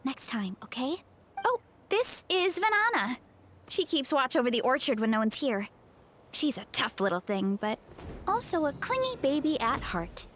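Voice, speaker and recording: A woman's voice: high voice, very animated, moderate pace, a bit bright, a little hiss or hum. A woman playing a cheerful, hearty young farm girl in a video game, chatting in a sweet, easygoing way. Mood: fond, playful. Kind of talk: video game character dialogue. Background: music.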